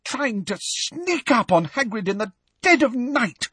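An earnest teenage schoolgirl witch voiced by a male narrator from a storybook, high and breathy. The delivery is emphatic and full of feeling, with lively swoops in pitch. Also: measured pace, no echo, full character voice. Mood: outraged.

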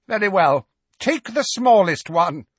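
Fanatical witch, high, shrieking and menacing; male narrator doing her voice. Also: big pitch swings, unhurried, husky, no echo, studio quality, heavily acted. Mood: cruel. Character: shrill fanatical female witch, cruel and unhinged. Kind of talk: audiobook character voice.

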